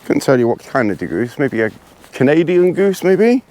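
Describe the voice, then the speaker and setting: A hoarse, congested man chatting casually to camera while hiking outdoors in the hills, rambling and poking fun at himself. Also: fairly high pitch, big pitch swings, normal speed, darkish tone. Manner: rambling, self-deprecating, chatty. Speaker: vlogger.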